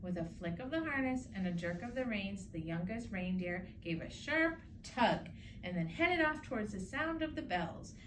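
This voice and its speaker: A woman's voice: medium pitch, lively intonation, moderate pace. A woman reading a children's story aloud as narrator. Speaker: storybook reader. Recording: distant mic, clear background noise.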